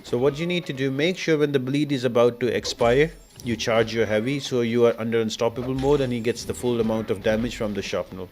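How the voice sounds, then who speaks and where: A man's voice: medium pitch, brisk, darkish tone, slight background noise. A man giving instructional, step-by-step commentary as the host of a video game guide. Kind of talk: game walkthrough commentary, explanatory.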